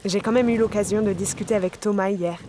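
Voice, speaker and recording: A woman playing a weary solo sailor in an audio fiction, speaking her logbook in a measured, terse, introspective way. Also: medium pitch, steady tone, close to an everyday voice. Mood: sober, tense. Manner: measured, terse, introspective in the log; colloquial on radio. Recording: loud vehicle-like ambient noise behind.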